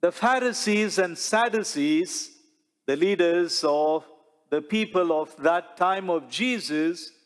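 Slow-preaching priest in a reverberant church. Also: male voice, high-pitched, a bit bright. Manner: slow, measured preaching with rhetorical questions and gestures. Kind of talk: sermon. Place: large church sanctuary before the altar.

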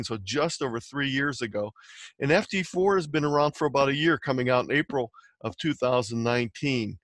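A man's voice: medium pitch, fairly bright tone, no echo. A man giving an explanatory slide lecture in a plain-spoken, matter-of-fact, instructional way. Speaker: amateur radio presenter.

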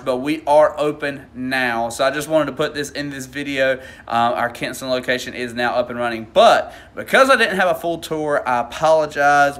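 A man's voice: a little high, fairly bright tone, distant mic. A man, hosting a casual home tour vlog on a phone camera, chatty and upbeat. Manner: chatty, upbeat, self-mocking. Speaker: home sales host. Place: indoors in a show home, empty rooms. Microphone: phone camera, often at arm's length. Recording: echoey room, voice a bit off mic.

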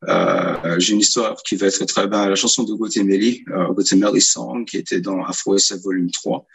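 A man in his thirties, a guest author answering interview questions in relaxed, casual speech full of hesitations and repeated words, recorded over a video call from a small room. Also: low voice, normal speed, vocal fry, slightly rough, poor audio. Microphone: video call, earphones.

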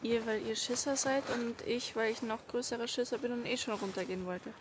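A woman's voice: a little high, brisk. A woman in her twenties giving a frank, colloquial vlog update while hiking, talking casually to a handheld camera. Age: 20s. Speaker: travel vlogger. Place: outdoors on a mountain trail. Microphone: handheld camera at arm's length. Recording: outdoor camera audio with ambient noise.

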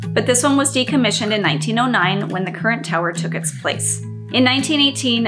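A middle-aged woman hosting her own channel, reading a scripted informative narration in an upbeat, clear way, with a slight lingering cough. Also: mid-range voice. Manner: upbeat, clear, lively on list headings. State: lingering cough after illness. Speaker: channel host. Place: indoors before a green screen. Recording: background music under the voice.

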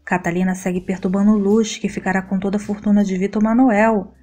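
A woman narrating a scripted soap opera episode recap in a steady, even read-aloud delivery. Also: medium pitch, brisk, soft background music.

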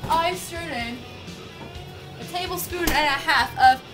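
A woman's voice: high voice, music in the background. A young woman playfully hosting a homemade cooking show in a small home kitchen, teasing and bursting out now and then. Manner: bubbly, teasing, quick outbursts.

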